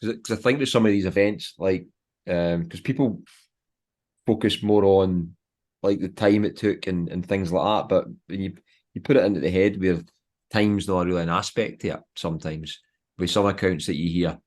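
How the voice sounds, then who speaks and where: Casting: Scottish male host with a deep voice who asks loose, hesitant questions. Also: normal speed, darkish tone. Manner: hesitant, filler-heavy questions. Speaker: podcast host. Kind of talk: casual interview questions.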